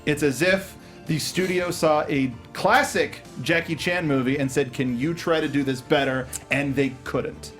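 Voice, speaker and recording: A man hosting a film review panel, chatting casually in a halting, jokey way with music playing. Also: on the high side, fairly even intonation, fairly bright tone. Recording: music playing behind the talk.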